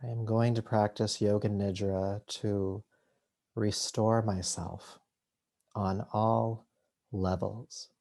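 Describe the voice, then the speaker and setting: A man leading a guided meditation as a yoga nidra teacher, soothing and unhurried, leaving long pauses between short phrases. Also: fairly low pitch, little pitch movement, creaky, dark tone.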